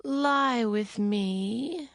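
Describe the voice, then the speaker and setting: A woman playing a scheming, seductive master's wife in a dramatised scripture reading, with a deliberate theatrical delivery. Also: fairly high pitch, no room sound, clean studio sound.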